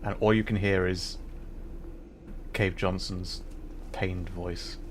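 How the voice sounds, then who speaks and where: Male livestream host, fairly low voice, casual gaming chat, polite and hesitant, faint background noise. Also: level tone, slow pace.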